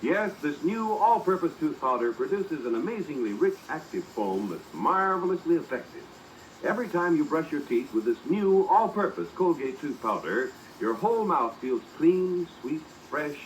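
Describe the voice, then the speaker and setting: A slightly noisy old radio broadcast features a male announcer. He delivers a 1940s advertising read with polish and emphasis, in a fairly high voice with very lively intonation. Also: moderate pace.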